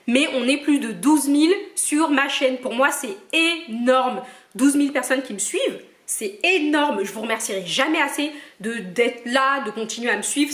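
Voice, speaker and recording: A young woman from Cameroon, chatting casually as she answers her viewers' questions in a playful, teasing way. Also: high-pitched. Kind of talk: casual Q&A vlog. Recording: echoey room.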